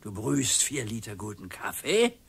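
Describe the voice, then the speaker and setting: An older actor playing a grumbling retired husband with a swollen toe in a radio play, moving from gripes to dreamy plans. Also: male voice, lowish voice, very animated, a little slow, creaky, a bit bright, husky, exaggerated voice. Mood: wistful planning. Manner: grumbling, then dreamily planning. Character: grumpy retired husband nursing a sore toe.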